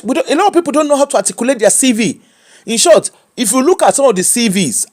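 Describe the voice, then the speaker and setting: A man with a Nigerian accent giving opinionated commentary, animated and mocking, slipping into pidgin. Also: high-pitched. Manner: animated, switches into pidgin and mimics people.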